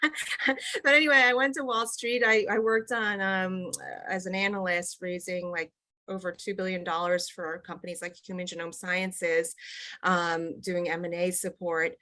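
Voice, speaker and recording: A woman's voice: lowish voice, fairly even intonation, moderate pace, pushed and bright. A middle-aged woman from the United States, a company executive chatting casually as an interview guest, with lots of fillers and restarts. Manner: chatty, many fillers and restarts, laughs often.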